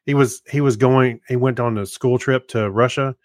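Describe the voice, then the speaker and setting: A man's voice: mid-range voice, steady tone, moderate pace, no echo, clean studio sound. A man co-hosting a podcast in casual, conversational chat about movies. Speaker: podcast co-host.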